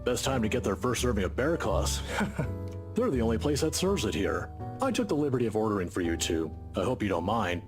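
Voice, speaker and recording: An actor playing a genial, courteous older male professor in a visual novel game, talkative and gently teasing. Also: lowish voice, sing-song, a little fast. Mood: genial, chatty. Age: 50s to 60s. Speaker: video game character. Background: guitar music.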